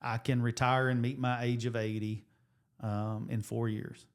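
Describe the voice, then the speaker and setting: An American man in his late forties, co-hosting a relaxed podcast chat. He rambles through figures and plans, circling back and restarting his sentences as he goes, at an average pitch. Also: measured pace, clean tone. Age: 40s. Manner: rambling, restarts, thinks out loud with numbers. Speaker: podcast co-host, high school coach and teacher. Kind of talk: casual chat.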